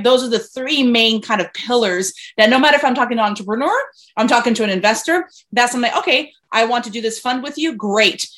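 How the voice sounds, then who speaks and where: A woman's voice: medium pitch, fairly bright tone. A woman from Venezuela, a guest investor on a video call, talking through her background in a chatty way with many fillers and restarts. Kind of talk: casual interview conversation.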